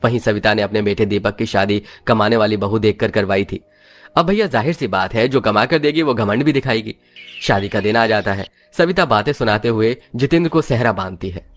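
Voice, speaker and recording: A man's voice: lowish voice, a little fast, soft background music. A man reading scripted narration for a moral story as its narrator.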